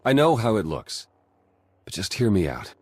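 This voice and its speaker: An actor playing an earnest undercover spy in a video game, husky-voiced, urgent and pleading. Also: male voice, lowish voice, sing-song, normal speed, a little mellow. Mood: urgent, explaining. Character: earnest male spy working undercover among traitors. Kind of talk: dramatic video game acting.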